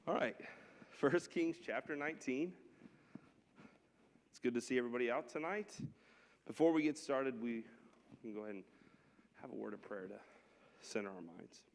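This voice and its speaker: A man's voice: medium pitch, moderate pace, long pauses, slight background noise. A man leading a church Bible class with prayer and scripture reading, speaking in a conversational, unpolished way. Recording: long hall reverb.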